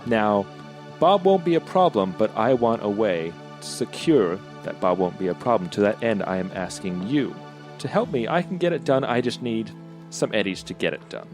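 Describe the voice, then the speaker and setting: Man playing a deliberate, low-voiced cyberpunk fixer. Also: animated, a little mellow, soft background music. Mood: earnest, persuasive. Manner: deliberate, formal, earnest. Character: businesslike cyberpunk crew fixer called Junk, courteous negotiator.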